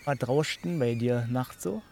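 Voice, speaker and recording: A man's voice: medium pitch, unhurried, gravelly, warm tone, a little hiss or hum. An actor playing a nosy, accusing village man in a radio play, speaking colloquially and confrontationally with a Berlin-Brandenburg accent. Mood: suspicious. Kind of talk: naturalistic German radio play acting.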